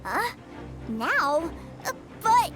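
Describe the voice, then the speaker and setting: A wide-eyed little boy who adores his big brother. The voice is extremely high and childlike, taking its time with eager innocence. Prominent game music plays underneath this video game performance. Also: sing-song, extremely slow, heavily acted. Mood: curious. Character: excitable, trusting little boy, adoring younger brother.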